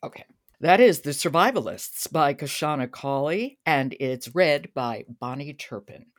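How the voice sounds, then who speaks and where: Female podcast host, deep-pitched voice, conversational interviewing. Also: lively intonation, a little slow.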